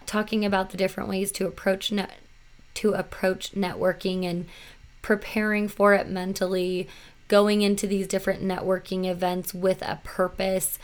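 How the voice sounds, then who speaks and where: A woman in her thirties hosting her podcast, slightly hoarse after losing her voice, talking in a chatty, earnest and self-reflective way. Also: mid-range voice, little pitch movement, normal speed. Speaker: podcast host. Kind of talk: solo podcast talk.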